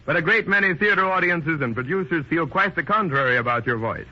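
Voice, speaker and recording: A man's voice: on the high side, expressive, fairly quick, sharp tone, muffled recording. A man presenting on 1930s radio as announcer and host, with a formal showman's delivery, on an old band-limited recording.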